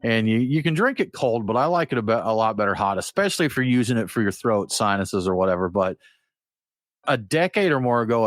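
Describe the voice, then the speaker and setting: A man's voice: lowish voice, no echo, studio quality. A man hosting a beer podcast in casual, jokey chat. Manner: chatty, jokey, animated. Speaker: podcast host.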